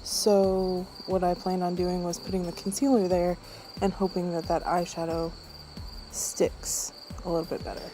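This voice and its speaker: An unwell woman with throat trouble giving a casual makeup tutorial voice-over in a low-energy, subdued manner. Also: flat delivery, normal speed, over music, poor audio. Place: voice-over recorded apart from the footage.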